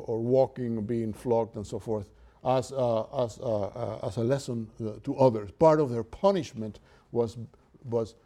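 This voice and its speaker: Brief: Cuban-accented professor lecturing, warm, mellow tone, halting delivery. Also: male voice, mid-range voice. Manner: halting, with many filler sounds. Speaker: literature lecturer. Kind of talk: university lecture.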